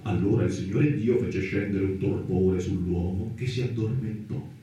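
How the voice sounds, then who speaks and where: A man narrating radio theatre, reciting scripture in a solemn, declamatory, measured and theatrical manner. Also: sits low, dark tone, lo-fi sound. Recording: very reverberant, distant voice over music. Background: music.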